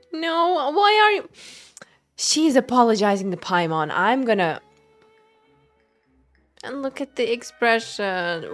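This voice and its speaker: Slow-paced, playful non-native woman streamer. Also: fairly high pitch. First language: not English. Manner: expressive, playful. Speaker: game streamer. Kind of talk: casual live game commentary, chatting with viewers.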